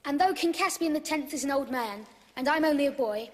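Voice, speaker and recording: A woman's voice: high-pitched, a little fast, natural voice. A woman playing a loyal young boy adventurer in an audio drama, speaking earnestly and declaratively. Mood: resolute. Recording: long hall-like reverb.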